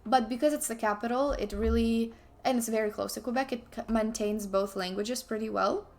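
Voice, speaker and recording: A woman's voice: fairly high pitch, brisk, a little mellow. A young woman from Ukraine chatting casually on a stream, often restarting sentences and slipping in asides to herself. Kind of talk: casual chat while playing chess.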